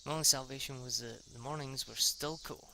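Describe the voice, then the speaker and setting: A man reading a visual novel aloud in a halting, informal, sweary way, with casual asides. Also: mid-range voice, fairly even intonation, gravelly, noisy background. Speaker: amateur reader of a visual novel.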